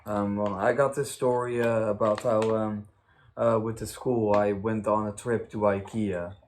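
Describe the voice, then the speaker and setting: A young man with a fairly low voice tells casual stories. He talks haltingly and rambles, circling around his point as he goes. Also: level tone, darkish tone, slight background noise. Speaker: host of a story time channel. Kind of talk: casual chat, anecdote telling.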